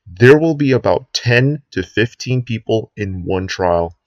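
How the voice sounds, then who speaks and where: A man playing a sinister US president who gives a grave, deliberate address to the nation in an emergency broadcast drama. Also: on the low side, lively intonation, no echo, lo-fi sound. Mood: grave, menacing. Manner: grave, deliberate speechmaking with drawn-out ominous phrases.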